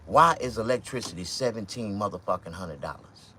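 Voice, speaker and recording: A man around fifty with a Mississippi accent, talking to camera in a loose, profane, rambling monologue with sudden loud outbursts. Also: fairly low pitch, lively intonation, brisk, slight background noise. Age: 50s. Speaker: vlogger and stand-up comedian.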